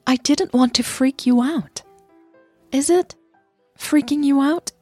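A woman voicing a playful younger girlfriend in a romance audio drama, speaking softly with a teasing, reassuring manner. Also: sits high, takes it slowly, no room sound, soft background music, voice pushed up. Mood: sheepish. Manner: soft, teasing, affectionate.

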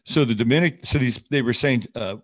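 A man's voice: mid-range voice, fairly quick. Sardonic, rambling male talk host. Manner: rambling, sardonic, skeptical, profane. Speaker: host of a commentary show. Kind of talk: unscripted political commentary monologue.

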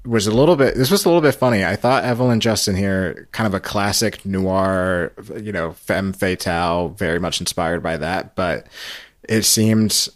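A man with a low-pitched voice hosts a recap podcast. He talks casually and a bit haltingly. His explanations carry light amusement. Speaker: podcast host.

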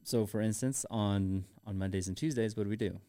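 A man co-hosting a podcast in casual banter, with a teasing, informal manner and halting phrasing. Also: fairly low pitch, warm tone. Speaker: podcast co-host.

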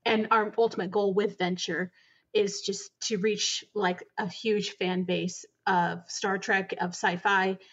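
A woman guest on a podcast interview about making audio dramas, speaking in an earnest, steady way. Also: medium pitch, slow, breathy edge, lo-fi sound. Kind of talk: interview answers.

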